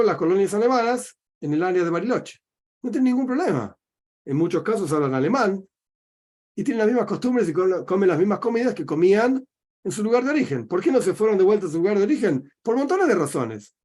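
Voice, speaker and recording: A man's voice: high-pitched, darkish tone. A middle-aged man with an Argentine accent, a rabbi giving a religious teaching talk, explaining by asking himself questions and answering them. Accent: Argentine Spanish.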